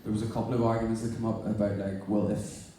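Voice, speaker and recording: A man's voice: lowish voice, flat delivery, quick pace, mellow, a little hiss or hum. A man with a Northern Irish accent talking wryly and sardonically as a guest on a live onstage panel. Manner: wry, sardonic, chatty. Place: large indoor venue before an audience. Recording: echoey hall from far off.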